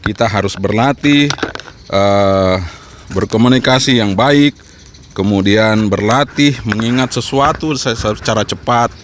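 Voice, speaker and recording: A man's voice: mid-range voice, slight background noise. A man giving an informal instructional vlog talk, encouraging and repeating his points as he explains. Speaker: amateur radio vlogger and trainer.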